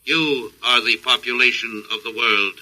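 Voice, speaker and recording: A sharp, metallic-bright emotionless alien conqueror. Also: male voice, medium pitch, moderate pace, poor audio. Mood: cold, formal. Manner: flat, formal, stilted precise phrasing. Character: emotionless male alien conqueror, precise, literal-minded, thousands of years old. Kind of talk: old radio drama acting.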